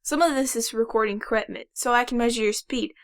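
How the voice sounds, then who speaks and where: A woman playing a calm, dry-witted young male inventor in an audio drama. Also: fairly high pitch, fast, lightly acted. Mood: upbeat, matter-of-fact.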